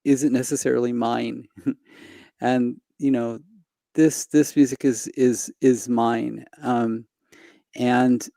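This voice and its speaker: A middle-aged man from Los Angeles talks as a guest on a video call, using a headset and desk mic. His voice is mellow and dry, with no room sound. He speaks slowly, with frequent pauses and restarts. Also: mid-range voice, level tone, gravelly, no echo. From Los Angeles, United States. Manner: hesitant, many fillers and restarts. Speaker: singer guest. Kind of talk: reflective conversation. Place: home room indoors.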